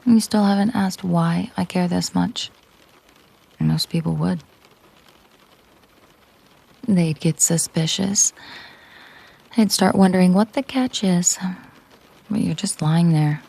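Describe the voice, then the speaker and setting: A woman playing a possessive, commanding mafia boss girlfriend in an intimate roleplay audio drama, speaking softly and deliberately, often slipping into a whisper. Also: fairly low pitch, gravelly, slightly rough, heavily acted. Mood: cool, probing. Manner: slow, deliberate, coolly possessive.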